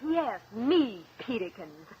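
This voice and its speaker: An actress playing a spiteful, taunting American blackmailer in a mid-century radio drama, sneering and forceful. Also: female voice, a little high, very animated, takes it slowly. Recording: muffled, noisy old radio recording.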